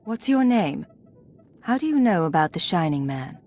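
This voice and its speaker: An actress playing a composed, resolute imperial agent in a video game, husky and measured in delivery. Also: female voice, lowish voice, big pitch swings, a little mellow, faint music behind. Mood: businesslike. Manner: measured, formal, controlled.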